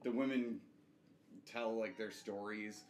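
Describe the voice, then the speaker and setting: Low-voiced man in a roomy recording. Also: fairly even intonation, slow. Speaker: podcast co-host. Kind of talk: casual group chat recapping a reality show. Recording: roomy echo, faint background noise.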